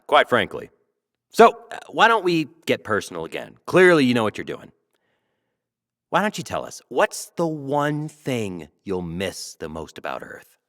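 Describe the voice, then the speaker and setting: A brash, animated talk show host. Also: male voice, mid-range voice. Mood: wry, cajoling. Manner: showy, teasing, theatrical. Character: brash, flattering, self-adoring male talk show host. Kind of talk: audio drama acting as talk show host.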